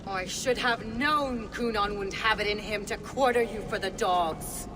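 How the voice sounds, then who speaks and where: A woman's voice: medium pitch, measured pace, close to an everyday voice. An actress playing a fierce pagan witch and seer in a video game, solemn and defiant, with an incantatory delivery. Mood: scornful, furious. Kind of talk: dramatic video game acting. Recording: long reverb, noisy ambience behind.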